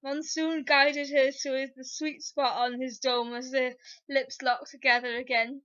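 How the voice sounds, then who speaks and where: High, bright-voiced woman reading theatrically. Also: flat delivery, a little slow, highs cut off. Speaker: narrator. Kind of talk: comic dramatic reading of fan fiction.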